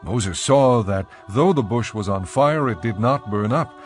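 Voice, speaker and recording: A man with a fairly low voice narrates scripture in a measured, scripted storytelling style. Soft music sits behind him. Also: expressive.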